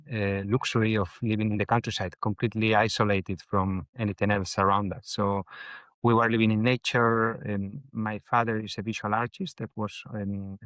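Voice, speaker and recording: A man's voice: lowish voice, fairly bright tone, clear, resonant tone, no echo. A middle-aged man from northern Spain with a Spanish accent, answering interview questions thoughtfully with many hesitations. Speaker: architect and educator, guest.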